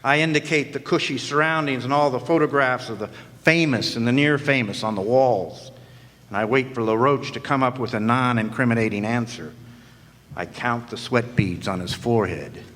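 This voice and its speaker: A man's voice: medium pitch, normal speed, a bit bright, close to an everyday voice. A man playing a sardonic hardboiled private eye. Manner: dry, sardonic first-person narration. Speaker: narrating private detective. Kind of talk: 1940s-style noir radio acting. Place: live stage performance in a hall. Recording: long hall reverb.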